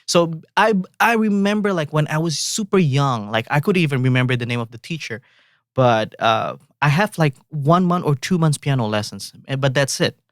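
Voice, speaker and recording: A man from Indonesia with an Indonesian accent, a composer guest talking in a halting, relaxed way with many fillers and restarts. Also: fairly high pitch, no echo, clean studio sound. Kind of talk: casual interview chat.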